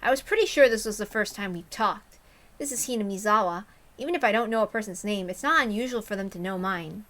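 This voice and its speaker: Bright-voiced woman reading a story aloud. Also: mid-range voice, lively intonation, fast. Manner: self-interrupting, openly flustered over mistakes. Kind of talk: expressive read-aloud with casual asides.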